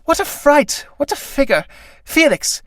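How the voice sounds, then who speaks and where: A performer reading a play aloud, voicing a vain, flighty female butterfly in a high, affected, gushing voice. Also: big pitch swings, measured pace, a bit bright, no room sound, heavily acted. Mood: scornful. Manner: gushing, affected, breathy swoops.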